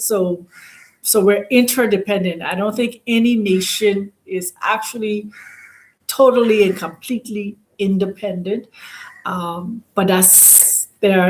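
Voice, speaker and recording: A woman from the Bahamas hosting an online channel, talking in an informal monologue and often pausing to search for words. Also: medium pitch, a little hiss or hum, rough recording.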